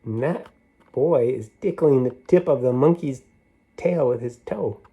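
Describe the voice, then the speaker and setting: A man reading a picture book aloud to children, stopping to talk about the pictures and ask his young listeners questions in a playful, unhurried way. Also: mid-range voice, breathy edge, phone-quality sound. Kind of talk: read-aloud storytime with asides about the pictures.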